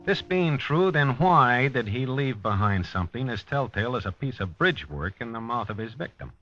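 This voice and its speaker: A man's voice: mid-range voice, very animated, moderate pace, fairly bright tone. An actor playing a dogged American police detective, narrating his case in a terse, wry, hard-boiled 1950s radio style. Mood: grim, methodical. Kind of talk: 1950s radio drama acting, noir narration. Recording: dull vintage 1950s radio recording.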